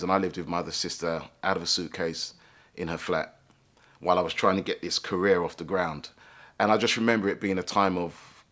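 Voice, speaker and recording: A man with a deep, low-pitched voice tells his own life story. He speaks reflectively and thoughtfully, often pausing to find the right words. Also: fairly bright tone. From England, London. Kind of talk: personal life story told to camera.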